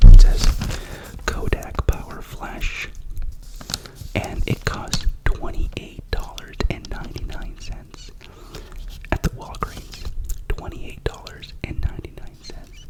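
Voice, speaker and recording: A man's voice: slow, rough recording. A young man with a cough whispering a rambling, chatty ASMR unboxing as the channel's host. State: sick with a cough.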